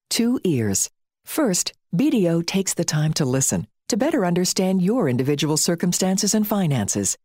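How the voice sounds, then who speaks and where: A woman's voice: low voice, dark tone, dry recording, clean studio sound. A woman reading a radio commercial in a reassuring way. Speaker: ad announcer.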